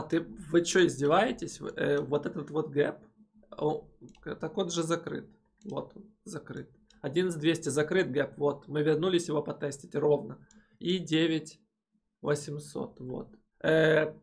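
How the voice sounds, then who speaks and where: Young man with a gravelly, husky voice. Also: on the high side. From Ukraine. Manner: animated, emphatic, with frequent filler "э". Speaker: crypto channel host. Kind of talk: casual chat answering viewer comments. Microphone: headset.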